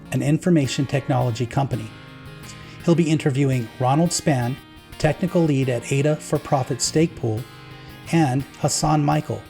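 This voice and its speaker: A man reading a rehearsed, friendly introduction to an interview as a voice-over. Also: medium pitch. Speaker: show introducer and panelist. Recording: voice-over with guitar music behind. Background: soft guitar music.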